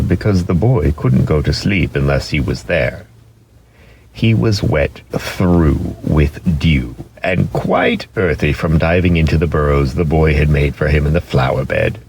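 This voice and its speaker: A man with a deep voice narrates a storybook in a dramatic style. His delivery swings widely in pitch and lingers on key words, with some faint noise behind him. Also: darkish tone.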